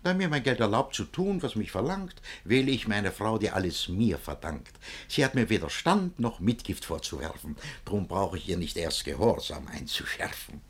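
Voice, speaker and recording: An actor playing a smug, mocking older bridegroom in a rhymed classic radio play, declaiming with theatrical self-assurance in a husky voice. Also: male voice, lowish voice, sing-song, normal speed, few pauses. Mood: self-satisfied.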